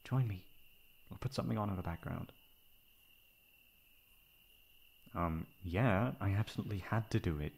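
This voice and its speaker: A man playing a caring, protective young best friend in an intimate ASMR roleplay, with a soft, breathy voice. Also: low-pitched, a little fast, heavily acted. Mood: affectionate, playful. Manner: intimate, comforting, casual. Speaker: voice actor.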